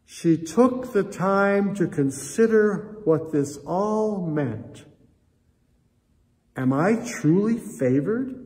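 A man's voice: high-pitched, expressive, drawn-out words, darkish tone. An older man preaching a reflective sermon in a church sanctuary, gesturing as he talks and addressing listeners as friends. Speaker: pastor. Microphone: camera at chest height, seated facing it. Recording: long church reverb, faint room noise.

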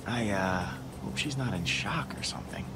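A man's voice: lowish voice, animated, moderate pace, background noise. A man voicing a friendly, easygoing young adventurer sidekick in a video game, casual, wry and sympathetic. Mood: concerned. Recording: music under the dialogue.

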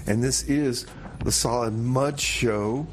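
Man as easygoing radio host, male, medium pitch, casual patter, slangy, exclaiming, comic sidekick. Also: takes it slowly, noisy background, lightly acted. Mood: easygoing, upbeat.

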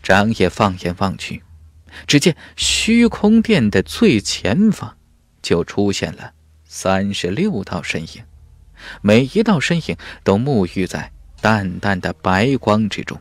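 A man's voice: fairly low pitch, very animated, slow, no room sound. A man narrating a fantasy audiobook in a dramatic, scripted storytelling style.

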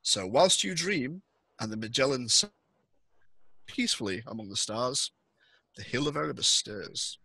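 A man's voice: medium pitch, animated, moderate pace, creaky, pauses often, bright, forceful tone, slightly rough, no room sound. A young man narrating a tabletop roleplaying scenario as the game master, on an indoor call. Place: indoor room on a video call.

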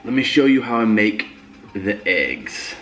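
A man's voice: on the low side, big pitch swings, a little slow, a bit bright, faint music behind. A young man in his twenties, a fitness vlogger, talking casually through his cooking step by step, recorded on a camera mic in a small home kitchen. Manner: plain step-by-step explaining. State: stuffed up with a cold. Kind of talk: casual how-to vlog narration.

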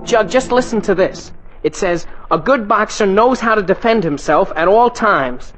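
An excitable teenage boy in a vintage radio comedy. His voice swoops up and down as he boasts, whines and blusters, tripping over words when rattled. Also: high-pitched, normal speed, music in the background, low-quality recording. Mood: boastful, eager. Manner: stammering when nervous, blustering. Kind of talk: 1940s radio sitcom acting. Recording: vintage 1940s radio broadcast.

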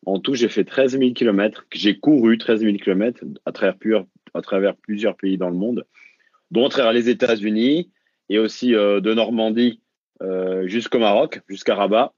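A man's voice: lowish voice, normal speed, a little mellow, no room sound. A French man, a runner and mental coach, talking casually as a guest and restarting his sentences often. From France. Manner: hesitant, many restarts and fillers. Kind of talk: casual interview. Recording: dull lo-fi call audio.

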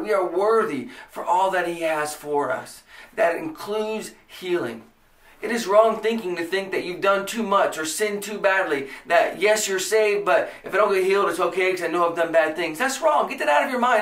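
A man's voice: high-pitched, fairly bright tone, echoey room, far from the mic. A man in his thirties with an American accent, preaching a podcast sermon with earnest emphasis. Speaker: pastor. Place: small indoor room before a church banner.

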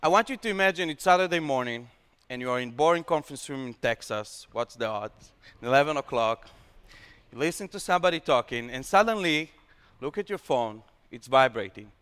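A young man with an Israeli accent, a startup founder pitching his product on stage with energetic, slightly broken English. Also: brisk, smooth. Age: young adult. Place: large hall with stage. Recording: long hall reverb.

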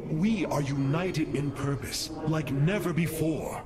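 An actor playing a noble alien leader in a video game cinematic, speaking in a formal, commanding and thoughtful way. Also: male voice, fairly high pitch, measured pace, noisy background, natural voice. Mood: earnest, stately. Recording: game audio with loud background ambience.

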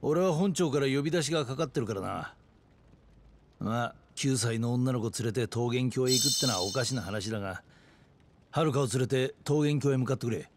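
An actor playing a matter-of-fact police detective in a Japanese video game, speaking casually and familiarly. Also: male voice, medium pitch, a little fast, slight background noise, natural voice. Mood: businesslike.

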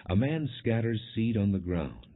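Deep-voiced man reading scripture. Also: very animated, moderate pace, slightly rough. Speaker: audiobook narrator. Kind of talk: scripted narration, non-dramatized scripture reading. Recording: dull, muffled low-fidelity audio.

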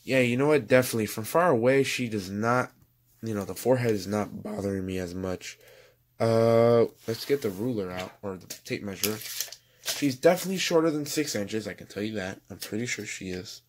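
A young man reviewing toys at home in a rambling, chatty way, his voice going hoarse. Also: lowish voice, a little fast. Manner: rambling, chatty, self-correcting. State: losing his voice. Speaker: toy reviewer. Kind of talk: casual toy review voice-over.

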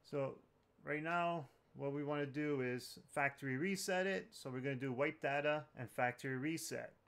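A man's voice: medium pitch, normal speed, creaky, a bit bright, smooth. A man hosting a tech tutorial, talking viewers through steps in an informal, plain-spoken way. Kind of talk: casual step-by-step tutorial narration.

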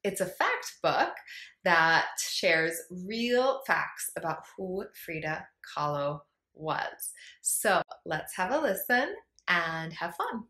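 Slow, gentle young woman teacher reading aloud. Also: lowish voice, very animated. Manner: gentle, encouraging, asks the listener questions. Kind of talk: children's read-aloud lesson. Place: small room indoors. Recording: echoey room.

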